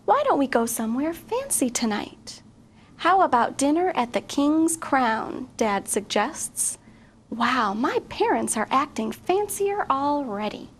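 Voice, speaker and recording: A middle-aged woman teacher reading a picture book aloud to children, expressive and asking young listeners questions. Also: high-pitched, unhurried. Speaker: guest reader, teacher.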